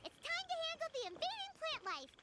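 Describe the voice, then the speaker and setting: A woman voicing a small, excitable female companion in a game, chirpy and full of exclamations. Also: very high-pitched, fast, heavy background noise, exaggerated voice. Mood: eager. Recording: game audio over loud music.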